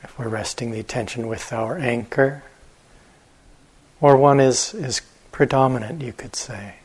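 A man leads a guided meditation as its teacher. His voice is husky and sits at a medium pitch. He speaks very slowly and gently, leaving long, unhurried pauses between short phrases.

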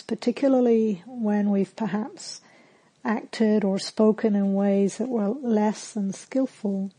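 A woman meditation teacher leading a guided meditation in a calm, gentle, soothing manner with long pauses. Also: mid-range voice, slightly rough, poor audio.

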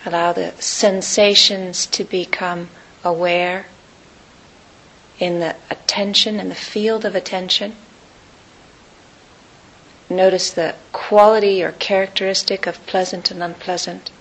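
A woman teaching meditation, guiding listeners in short, unhurried phrases with long pauses between them. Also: fairly low pitch, fairly even intonation, fairly bright tone, rough recording. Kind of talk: guided meditation.

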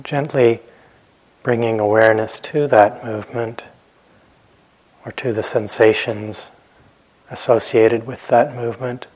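A man teaching a guided meditation in a gentle, unhurried manner, leaving long pauses between phrases. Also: mid-range voice, steady tone, low-quality recording.

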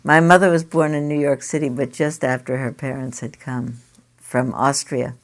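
An older woman teaching a group, asking many quick questions in a chatty way. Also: extremely low pitch, steady tone. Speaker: meditation teacher leading a group. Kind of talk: informal group discussion.

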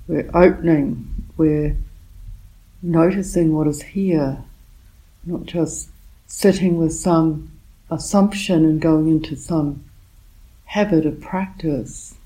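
Low, slow-speaking woman guiding meditation. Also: mellow, poor audio. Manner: unhurried, with short phrases and long pauses. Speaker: meditation teacher. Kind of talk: guided meditation, softly spoken instruction.

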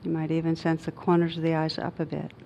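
A woman guiding a meditation as a teacher, speaking gently and unhurriedly in short phrases with long pauses. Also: monotone, vocal fry. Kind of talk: guided meditation. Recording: dull muffled recording with faint rumbling noise.

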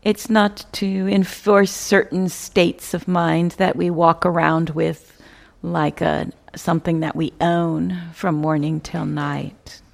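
Slow, creaky-voiced woman guiding meditation. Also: fairly low pitch, little pitch movement. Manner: measured, contemplative, with long pauses between short phrases. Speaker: meditation teacher. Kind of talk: guided meditation instruction, spiritual teaching.

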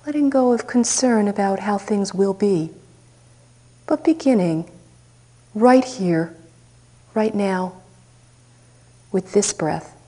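A woman teacher leading a guided meditation, speaking softly and unhurriedly with long pauses. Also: mid-range voice.

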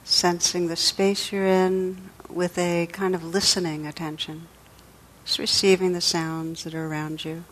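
A woman leading a guided meditation as a teacher, speaking in a gentle, soothing, unhurried way with pauses. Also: fairly low pitch, little pitch movement, poor audio.

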